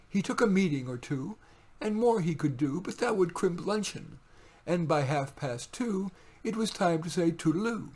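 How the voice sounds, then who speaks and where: A man with a husky voice reading his own poems in a deliberate, wry way, with informal asides. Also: high-pitched, lively intonation, a little mellow.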